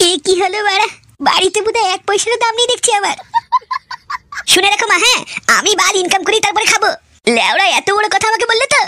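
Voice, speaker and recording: A man voicing a hot-headed, foul-mouthed young cartoon man who rants and swears constantly, through a pitched-up effect. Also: expressive, fast talker, noisy background. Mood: defiant. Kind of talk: comedy cartoon dialogue.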